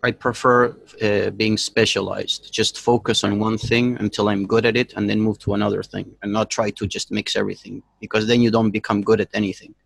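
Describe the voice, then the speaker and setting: A young man hosting a casual podcast chat from a small room at home, joking and animated as he talks. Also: fairly low pitch, a little fast, gravelly, few pauses, husky, no room sound, rough recording. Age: young adult. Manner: joking, animated, laughs often. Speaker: podcast host.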